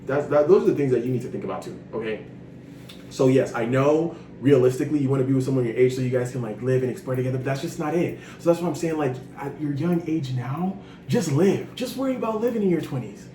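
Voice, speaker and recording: Young man, 20s, frank advice vlogger, animated and profane, mic set off at a distance. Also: medium pitch, fast. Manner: animated, frank, swears freely, sassy asides. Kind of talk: casual advice monologue. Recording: roomy echo, distant from mic, noisy.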